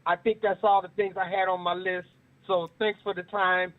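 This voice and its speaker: A man's voice: high voice, flat delivery, normal speed. A man calling in to a radio show, preachy and repeating words for emphasis. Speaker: caller. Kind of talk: call-in radio comment. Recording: tinny phone line.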